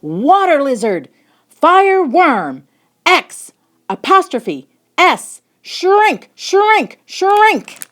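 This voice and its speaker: A woman voicing an eager young boy, a proud storybook tour guide who announces everything loudly and excitedly. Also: very high voice, extremely slow, pitched above the performer's own voice, exaggerated voice. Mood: urgent, shouting. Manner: loud excited announcing and commanding.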